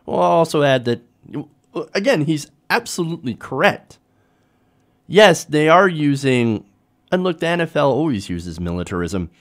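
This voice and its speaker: A man's voice: fairly high pitch, very animated, moderate pace. A man hosting a political commentary show, talking informally to viewers in a conversational way with rhetorical tag questions.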